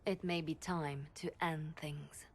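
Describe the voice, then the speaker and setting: Grave, low-pitched woman adviser. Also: steady tone, slightly rough, exaggerated voice. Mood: earnest, resigned. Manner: measured, earnest, solemn. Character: grave, poised woman adviser, wistful and diplomatic. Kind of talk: video game cutscene acting.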